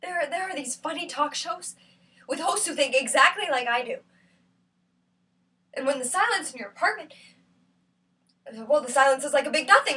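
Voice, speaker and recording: A high female voice, recorded at some distance from the mic, an actress playing a lonely and bitter woman in a stage monologue. Her delivery is intense and halting, raw with hurt, moving between hushed words and outcry. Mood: wistful, fragile. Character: lonely, bitter, wounded woman who feels ugly. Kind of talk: theatrical monologue performance.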